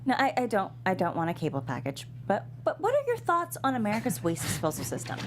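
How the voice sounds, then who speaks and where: A woman's voice: medium pitch, wildly swooping pitch, quick pace. A woman playing an ambitious, scheming young political intern in a comedy table read, earnest, emphatic and urgent. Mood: insistent. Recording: noisy room with hum.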